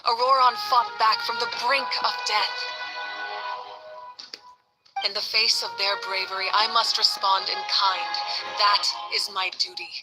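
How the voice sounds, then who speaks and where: A woman acting a stoic, dutiful leader in a video game, formal, composed and deliberate. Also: mid-range voice, steady tone, lightly acted, poor audio. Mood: resolute. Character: stoic, dutiful female leader, calm and resolute. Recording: game music behind the voice.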